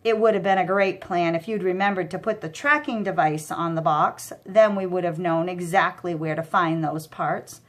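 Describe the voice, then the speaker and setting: A woman's voice: fairly low pitch, fairly even intonation, moderate pace, hardly any pauses, natural voice. A woman voicing a bossy, irritable male crook who scolds in exasperation in a children's story. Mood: exasperated. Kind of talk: children's audiobook character voice.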